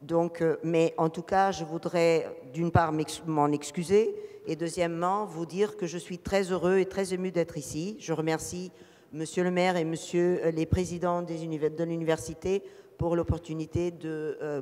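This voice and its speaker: A man's voice: fairly high pitch, level tone, moderate pace, darkish tone. A man interpreting live at a ceremony, hesitant, with many fillers. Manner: hesitant, frequent filler "euh". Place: ceremony hall. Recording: long reverb in a big hall.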